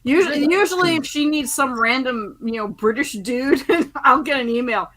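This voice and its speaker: A woman's voice: high-pitched, normal speed, a bit bright, no room sound, rough recording. A woman chatting casually as one of a podcast panel. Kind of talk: casual chat.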